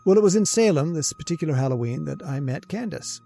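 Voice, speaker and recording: Gravelly, haunted man confessing. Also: on the high side, lively intonation, fast, dark tone, soft background music. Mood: eerie, shivery. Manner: jittery, hesitant, emphatic storytelling. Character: nervous, haunted, centuries-old male professor confessing a murder plan. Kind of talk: old-time radio horror narration and acting.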